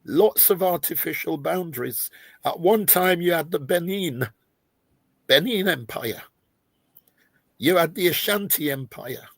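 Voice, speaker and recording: A man's voice: on the high side, lively intonation, unhurried, gravelly, long pauses, fairly bright tone, husky, no room sound. A man originally from Jamaica speaking on a panel, hesitant, often searching for words and restarting. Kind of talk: panel discussion.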